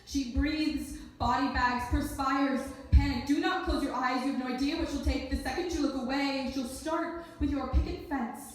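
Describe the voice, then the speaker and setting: Female spoken-word performer, slightly high voice, off-mic in a very reverberant room. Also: moderate pace, few pauses. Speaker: slam poet. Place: echoey indoor event space, live performance. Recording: very echoey room, distant mic.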